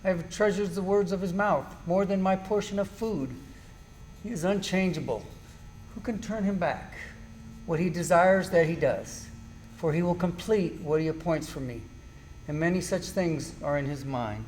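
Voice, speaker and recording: A man acting as a suffering, steadfast man in an amateur Bible drama. The voice sits fairly high for a man and speaks in solemn, formal declamation, full of bitterness. Some background noise runs under it. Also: moderate pace, a little mellow, natural voice, low-quality recording. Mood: anguished, steadfast. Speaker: church class amateur actor.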